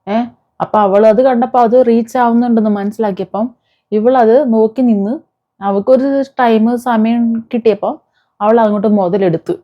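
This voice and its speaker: A woman's voice: on the high side, steady tone, normal speed. A woman with a Kerala Malayalam accent giving informal, indignant commentary to viewers, scolding and posing rhetorical questions. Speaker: video commentator. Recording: dull, muffled lo-fi sound.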